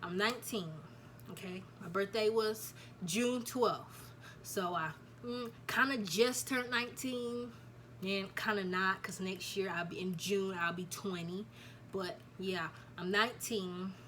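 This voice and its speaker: A woman's voice: medium pitch, a little slow, fairly bright tone, a little hiss or hum. A nineteen-year-old woman, chatting casually to camera and repeating herself, telling viewers "y'all" about her news. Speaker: vlogger. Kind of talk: casual storytime chat to camera.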